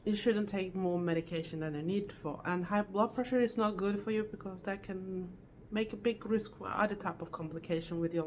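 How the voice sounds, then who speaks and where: A middle-aged woman from Sweden with a Swedish accent, giving an earnest, halting vlog update with self-corrections and non-native phrasing. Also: on the low side, a little hiss or hum. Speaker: vlogger. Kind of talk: casual health update vlog.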